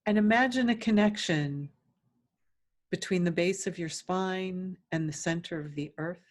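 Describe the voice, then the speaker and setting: Slow, low-pitched woman guiding meditation. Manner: gentle, soothing, instructive, with long pauses between phrases. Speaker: guided meditation teacher. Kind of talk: guided meditation.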